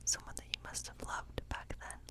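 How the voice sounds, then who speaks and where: A gentle cottage witch, played by a woman in an ASMR fantasy role play. She speaks entirely in a soft, breathy whisper. Her delivery is unhurried and musing, as if quietly reading tarot at night. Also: natural voice.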